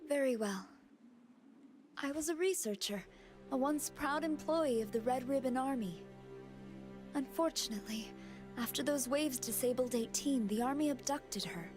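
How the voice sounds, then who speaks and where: Casting: actress as earnest, well-mannered lady researcher for anime game, high voice, formal phrasing, music underneath. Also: normal speed, long pauses, lightly acted. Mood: solemn confession. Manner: courteous, careful, formal. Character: polite, earnest female scientist, a remorseful deserter. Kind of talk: dubbed anime video game acting. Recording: music behind the voice.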